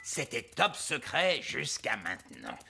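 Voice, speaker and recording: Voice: mid-range voice, huge pitch swings, slow, creaky, over music, heavily acted. A performer voicing a proud, theatrical, eccentric old elf inventor in a French-dubbed children's cartoon. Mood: proud, mysterious.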